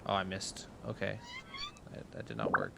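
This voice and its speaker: Low, very gravelly man streaming a game. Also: sing-song, normal speed, heavy background noise. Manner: playful, mutters and repeats phrases. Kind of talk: live game reaction commentary. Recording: loud game music behind.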